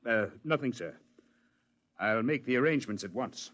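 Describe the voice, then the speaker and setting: An actor playing a long-serving employee in a radio drama, subdued and deferential. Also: male voice, mid-range voice, lively intonation, moderate pace, loud music behind the voice, lightly acted. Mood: uneasy.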